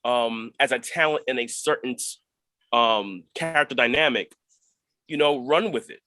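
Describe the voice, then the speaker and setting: A man's voice: mid-range voice, a little slow, pushed and bright, dry recording. A man talking reflectively about his craft as a guest actor in an interview.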